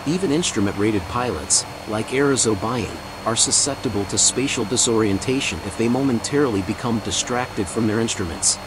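A man's voice: on the low side, fairly quick, hardly any pauses, noisy background. A man reading a scripted, documentary-style narration as the narrator.

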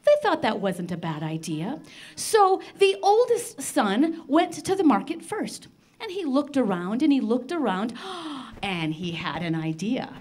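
An older woman telling a children's story live in an expressive, theatrical manner with dramatic pauses. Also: a little high. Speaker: storyteller on a children's radio show. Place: large library room, live before a seated audience. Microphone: handheld microphone.

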